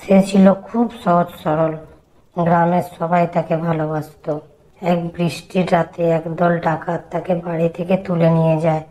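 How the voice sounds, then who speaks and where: A woman voicing a worried old grandmother in a horror cartoon, telling ghost tales slowly and gravely. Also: lowish voice, little pitch movement, mellow, a little hiss or hum, close to an everyday voice, poor audio. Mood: grave, ominous.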